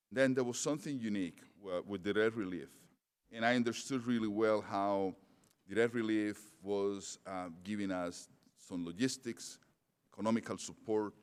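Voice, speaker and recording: Deep-voiced man with a non-native accent. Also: smooth. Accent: non-native English. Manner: hesitant, many fillers and restarts. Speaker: conference speaker. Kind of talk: conference presentation. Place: large conference hall. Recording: long hall reverb.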